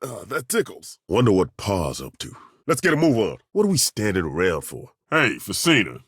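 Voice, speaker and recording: An actor playing a gruff, folksy middle-aged male dwarf fighter with a dry, wry, plainspoken way of talking, in video game voice acting. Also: on the low side, huge pitch swings, normal speed, fairly bright tone, no echo, full character voice. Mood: wry grumbling. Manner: dry, wry and plainspoken, with roaring battle cries. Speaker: party companion.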